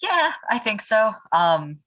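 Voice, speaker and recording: A woman playing a tabletop role-playing game, improvising the story casually and haltingly as she thinks aloud. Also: a little high, sing-song, fairly bright tone.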